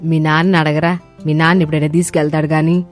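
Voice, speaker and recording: A woman's voice: sits low, little pitch movement, fast, lightly acted. A woman voicing an elderly grandmother and mother-in-law in a cartoon family story, fond but skeptical, with homely colloquial speech. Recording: music bed under voice.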